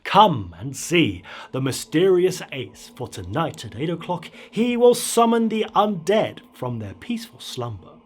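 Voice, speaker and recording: A man's voice: fairly high pitch, big pitch swings, measured pace, few pauses, voice pushed up. A man voicing a showy Victorian announcer in a storybook horror tale, grandly proclaiming a magic show.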